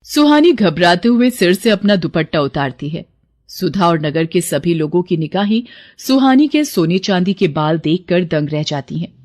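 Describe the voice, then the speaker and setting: A woman's voice: fairly low pitch, sing-song, moderate pace, a little mellow. Woman narrating a storybook tale.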